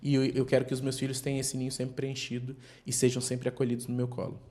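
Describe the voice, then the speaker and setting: An earnest man talks through his thoughts at length as a podcast guest. His voice sits at an ordinary pitch, and he builds slow, heartfelt points around vivid images. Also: completely flat delivery, mellow. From Brazilian Northeast. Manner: earnest, speaks in extended metaphors. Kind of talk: reflective interview talk.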